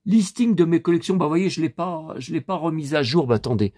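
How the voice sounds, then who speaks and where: A man's voice: fairly high pitch, brisk, no room sound, studio quality. A man in his fifties, a collector vlogging casually, rambling with many fillers as he talks straight to his viewers. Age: 50s. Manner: indignant and animated when riled. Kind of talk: casual screen-share vlog.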